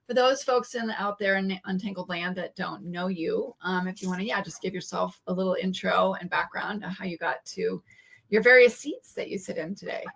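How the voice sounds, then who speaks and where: A woman's voice: mid-range voice, normal speed, creaky, a bit bright, breathy edge. A woman hosting a podcast, chatting casually with a guest and full of fillers and restarts. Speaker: podcast host. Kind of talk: casual interview chat.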